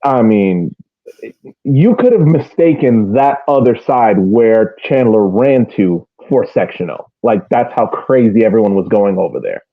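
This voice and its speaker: A man's voice: lowish voice, animated, a little slow, no echo, narrow, tinny audio. A man casually recapping a match as a soccer fan podcast co-host, over thin call audio.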